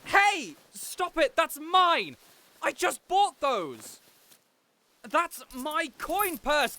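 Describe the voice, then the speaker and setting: A man's voice: sits high, a bit bright, full character voice. An actor playing a spoiled, arrogant young nobleman in an audio roleplay drama, theatrical and sarcastic, sneering at his guard.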